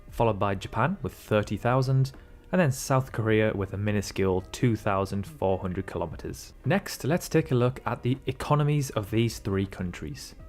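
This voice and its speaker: Man narrating a comparison video. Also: fairly low pitch. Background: faint background music.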